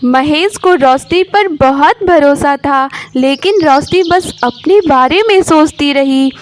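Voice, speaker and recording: A woman's voice: high-pitched, slow pace, slight background noise. A woman storyteller giving scripted narration of a moral story.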